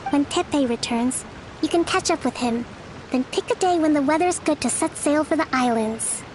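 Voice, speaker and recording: An actress playing a composed, courteous female leader in a video game, giving orders in a measured, formal manner. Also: high voice, noisy background, natural voice. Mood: composed, instructive. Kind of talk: video game character acting.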